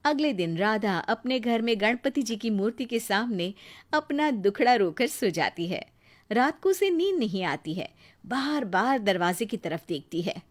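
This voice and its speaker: A woman with a fairly high voice tells a moral tale. Her delivery is scripted narration, in the manner of a storyteller. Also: expressive, unhurried.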